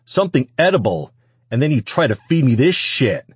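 Man acting out a snarling, shouting abusive husband. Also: medium pitch, very animated, brisk, slightly rough, no echo, voice pushed up. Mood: enraged, menacing.

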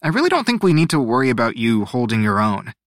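A sardonic, protective young man voiced by a male narrator in a fantasy audiobook. He has a wry, quipping manner and an unforced medium pitch. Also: wildly swooping pitch, fast talker, dry recording, studio quality. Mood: reassuring.

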